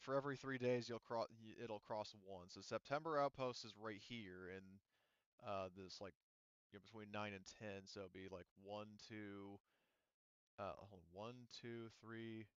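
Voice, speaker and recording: A man's voice: lowish voice, normal speed, smooth, no echo, muffled recording. A man running a tabletop roleplaying game as game master, talking casually and thinking aloud in a rambling way.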